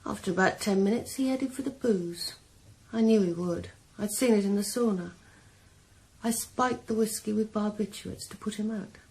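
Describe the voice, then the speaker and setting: An actress playing a young woman killer calmly confessing her revenge in a 1980s radio drama. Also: medium pitch, natural voice, rough recording. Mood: cold confessing. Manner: calm, measured, quietly cold. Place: sauna.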